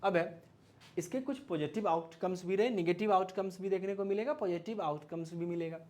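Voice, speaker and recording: A man with an Indian accent teaches in an informal, explanatory way. His voice sits a little high. He poses rhetorical questions and adds small check-in tags as he goes. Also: brisk, darkish tone. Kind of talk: informal explanatory lecture mixing Hindi and English.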